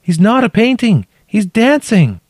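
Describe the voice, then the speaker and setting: Trapped cave explorer, female male narrator acting, high strained calls, halting, awestruck, audiobook drama, very dry sound. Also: very animated, no echo. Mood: dazed wonder. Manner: calling out from a distance in short halting phrases. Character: trapped injured woman calling up from a cave, awestruck, dazed.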